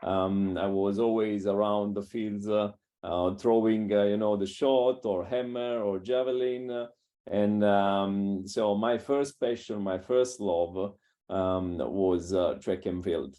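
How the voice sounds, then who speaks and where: An Italian man with an Italian accent and non-native English, speaking haltingly with many filler sounds as a coach in an interview. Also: fairly low pitch, fairly even intonation, warm tone. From Italy. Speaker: strength and conditioning coach. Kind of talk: casual interview talk.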